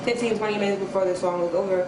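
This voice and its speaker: A woman's voice: mid-range voice, fairly even intonation, very fast. A woman from Trinidad with a Trinidadian accent, telling a story as a guest in a casual interview, laughing often and swearing. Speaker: guest musician. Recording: echoey room with background noise.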